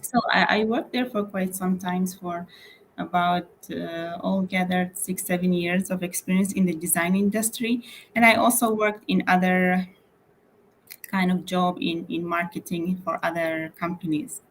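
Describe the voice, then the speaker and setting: A young woman speaks over a video call in a somewhat low voice, faint hiss behind her. She talks casually and hesitantly, pausing on fillers and restarting her sentences. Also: fairly even intonation, rough recording. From Malaysia. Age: young adult. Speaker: interior architect guest. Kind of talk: casual interview.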